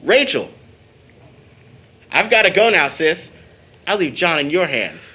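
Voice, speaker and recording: An actor playing an outspoken young man in an audio drama, with an emphatic, expressive delivery. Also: fairly high pitch, measured pace, husky, exaggerated voice. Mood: brisk, teasing. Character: Tom, impassioned outspoken young brother, teasing. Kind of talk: stage play audio drama acting.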